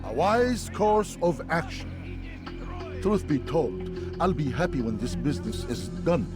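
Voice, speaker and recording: A man's voice: a little high, very animated, unhurried, over loud music. An actor playing an uneasy, deferential henchman in a secret plot in a video game.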